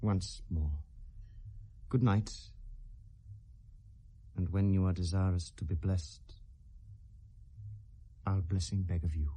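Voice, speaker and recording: An actor playing an anguished, accusing young prince in 1970s British classical radio drama, declaiming verse in a Received Pronunciation accent with feverish intensity. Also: male voice, low-pitched, fairly even intonation, takes it slowly, pauses often, dark tone, breathy edge, heavily acted. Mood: grave, pleading. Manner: declamatory, impassioned, theatrical.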